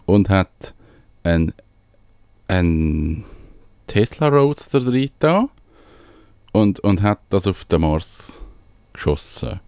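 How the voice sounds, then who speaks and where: A man's voice: low-pitched, big pitch swings, takes it slowly, creaky, long pauses, darkish tone, smooth, no room sound. A man with a Swiss German accent chatting casually as a radio co-host, rambling and searching for his words. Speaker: radio show co-host and small business owner.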